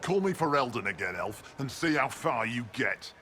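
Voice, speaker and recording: An actor playing a proud, devout mountain barbarian healer in a video game, speaking in terse, solemn lines. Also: male voice, mid-range voice, sing-song, moderate pace, bright, forceful tone, slight background noise. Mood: gruff, solemn.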